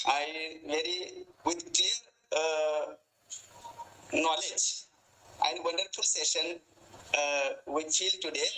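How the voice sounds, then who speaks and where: A man giving a formal vote of thanks on a video call, halting and full of honorifics. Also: on the high side, sharp tone, low-quality recording.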